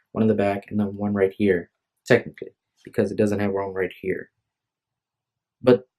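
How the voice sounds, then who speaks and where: A tired young man with a lowish voice rambles into a phone microphone at home. He talks in a hesitant, apologetic way and keeps breaking off and restarting his sentences. The phone mic gives it a close, casual vlog sound. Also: darkish tone. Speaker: vlogger. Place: room at home.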